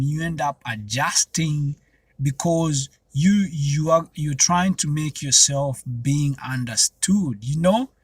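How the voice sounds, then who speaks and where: A man's voice: a little high, breathy edge, no echo. A young Kenyan man, Swahili-speaking by birth, talking to camera in a loose, rambling way with many restarts and fillers. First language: Swahili and another Kenyan language. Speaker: vlogger. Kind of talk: casual talk to camera.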